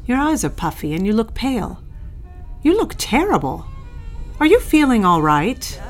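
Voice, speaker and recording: A woman's voice: fairly high pitch, very animated, normal speed, faint music behind. An actress playing a proud, critical middle-aged mother in a period audio drama, scolding and prying with indignation. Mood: critical, fussing.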